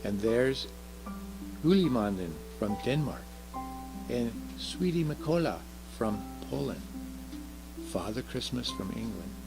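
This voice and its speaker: A man voicing a curious, earnest little girl in a children's storybook, soft and chatty, asking and explaining eagerly. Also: mid-range voice, very animated, slow, vocal fry, pauses often, music in the background, exaggerated voice, poor audio. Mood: proud, chatty. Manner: eager questioning, explaining carefully. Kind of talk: children's storybook read aloud.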